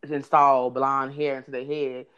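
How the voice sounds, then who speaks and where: A man with a high voice telling a story in casual chat, rambling with many restarts. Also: flat delivery, a little slow, highs cut off, a crowd in the background. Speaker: podcast guest telling a story.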